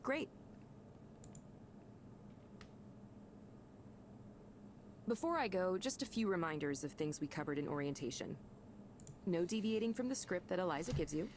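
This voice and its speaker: An actress playing a chatty, upbeat supervisor in a visual novel game, talking in brisk, reassuring corporate orientation patter. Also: female voice, lowish voice, big pitch swings, darkish tone, a little hiss or hum. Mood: instructive.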